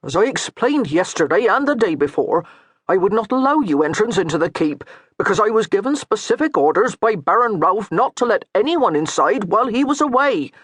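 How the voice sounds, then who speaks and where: A man's voice: high voice, very animated, fairly quick, a bit bright, slightly rough, no room sound, pitched above the performer's own voice, exaggerated voice. A man voicing a patient, dutiful medieval steward who speaks earnestly. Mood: pleading.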